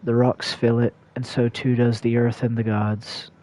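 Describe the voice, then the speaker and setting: A man's voice: medium pitch, flat delivery, moderate pace, mellow, poor audio. A man livestreaming a video game, reading its dialogue aloud and adding casual commentary.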